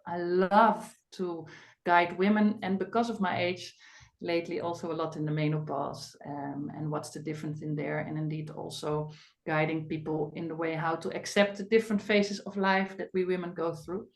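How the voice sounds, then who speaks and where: A middle-aged woman with a clear Dutch accent talks casually through a webinar as a family doctor. She is chatty and keeps filling pauses with little sounds. Her voice bounces around an echoey room. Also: on the low side, level tone. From Netherlands. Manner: chatty, with frequent fillers and non-native phrasing.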